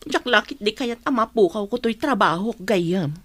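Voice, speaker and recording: A woman's voice: mid-range voice, lively intonation, measured pace, fairly bright tone, dry recording. A woman in a radio drama playing a worried, resentful mother, fretful and questioning. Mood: resentful, subdued. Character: worried mother, resentful of her sick husband. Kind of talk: radio drama acting in Ilocano.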